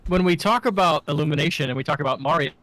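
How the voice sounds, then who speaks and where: A man with a slightly high voice co-hosts a podcast. He chats casually, chiming in with short, easygoing remarks. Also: rapid-fire, a bit bright. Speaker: podcast co-host. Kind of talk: casual chat.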